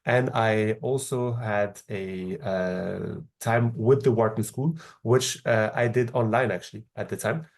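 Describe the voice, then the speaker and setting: Guy from morocco w a french accent, kinda low voice, explains stuff slowly, lots of uhs. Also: steady tone, creaky. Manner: measured, explanatory, frequent hesitations. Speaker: podcast guest, startup founder. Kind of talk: casual interview answers.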